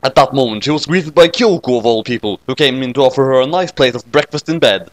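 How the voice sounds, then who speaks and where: A man's voice: medium pitch, fast, no echo. A man reading scripted comic fan fiction as narrator.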